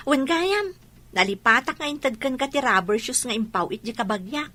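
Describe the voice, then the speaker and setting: A woman plays a supportive young friend in an Ilocano radio drama. Her voice is high and bright. She comes across as caring and encouraging. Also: lively intonation, normal speed, full character voice.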